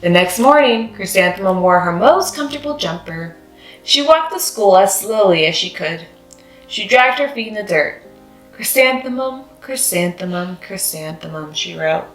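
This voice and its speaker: A woman narrating a children's read-aloud as host, with expressive, deliberate delivery for children. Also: mid-range voice, echoey room, soft background music, rough recording. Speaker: storybook reader, channel host.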